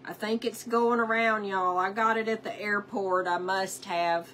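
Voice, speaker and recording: A woman's voice: medium pitch, fairly even intonation, a little slow, a bit bright, slight background noise. A woman, sounding stuffed up from a cold, chatting casually to viewers while she works on a craft. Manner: chatty, talks to herself while working, greets viewers by name. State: congested from a cold. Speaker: craft livestream host. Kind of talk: casual craft livestream chat.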